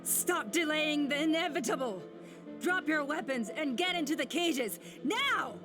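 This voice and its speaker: A cold, scheming usurper who talks calmly and menacingly in a slightly high voice, full of condescension. It sounds like a video game villain's cutscene. Strong music plays under her lines. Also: a little slow. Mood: commanding.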